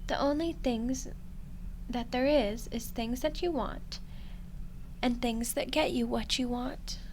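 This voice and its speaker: An ancient demoness, played by a woman for a roleplay ASMR drama, speaks in a slightly high voice at a slow, deliberate pace. She is smug and condescending, with cool menace and dramatic pauses. Some background noise sits under the recording. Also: rough recording. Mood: smug, lecturing.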